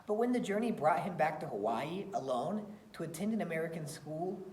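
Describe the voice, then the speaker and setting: A woman reading a children's picture book aloud in an expressive storytelling style. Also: low-pitched, fairly quick, a little hiss or hum. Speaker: read-aloud reader. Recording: roomy, echoey sound.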